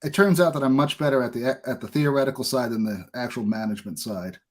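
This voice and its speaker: A man hosting a podcast interview in a casual, informal way, haltingly thinking aloud as he talks. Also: mid-range voice. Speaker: podcast host.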